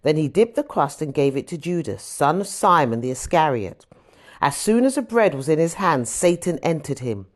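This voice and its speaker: A woman's voice: low-pitched, very animated, normal speed. A woman reading a Bible story as audiobook narration, expressively, with emphasis on the dialogue. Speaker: scripture reader.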